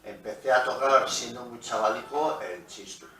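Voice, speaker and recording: A man's voice: mid-range voice, normal speed, a bit bright. Middle-aged man, roomy distant echo. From Pamplona, Spain. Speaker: guest musician. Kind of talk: relaxed interview answers. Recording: roomy, echoey, from a distance, faint noise.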